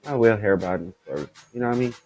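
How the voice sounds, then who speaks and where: A man hosting his own podcast, his voice raspy and stuffed up, chatting loosely and rambling as he talks straight to his listeners about the news. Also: on the low side, lively intonation, racing, darkish tone, soft background music. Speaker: podcast host. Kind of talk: casual commentary on news stories.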